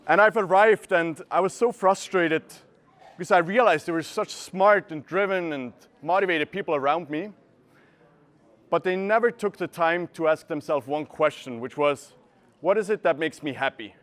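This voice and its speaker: A young man from Switzerland, giving an earnest presentation and often pausing to search for words, recorded from a camera across a room. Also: fairly high pitch, fairly bright tone. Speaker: speaker. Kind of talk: presentation talk. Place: gallery room with a seated audience.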